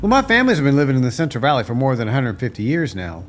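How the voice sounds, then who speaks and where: Homespun country man, played by an actor: resigned yet animated intonation, plain-spoken, clear noise behind. Radio drama. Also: medium pitch, rapid-fire. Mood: wistful. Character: folksy, resigned local man living rough. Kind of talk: radio play acting.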